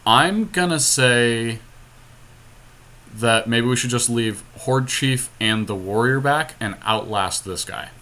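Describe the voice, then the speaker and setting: A man's voice: mid-range voice, takes it slowly, pushed and bright. A man co-hosting a podcast, thinking aloud as he casually comments on his moves in a card game. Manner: thinks aloud, counting and reasoning through moves. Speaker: podcast co-host.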